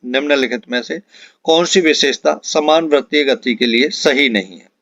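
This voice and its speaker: A man teaching exam prep, reading out quiz questions and answers in an instructional way with deliberate repetition. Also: a little high, a bit bright, no room sound. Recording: dull, lo-fi close dry recording.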